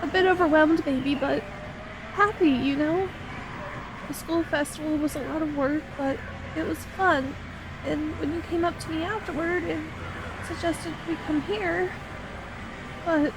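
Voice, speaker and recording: A woman playing an expressive, girlish young best friend, sweet and sometimes hesitant, in an ASMR roleplay. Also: very high-pitched, a little slow, pauses often, background noise. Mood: dejected, hesitant. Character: excitable young woman on an outing with her best friend, sweet and easily discouraged. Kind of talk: ASMR roleplay audio drama addressed to the listener.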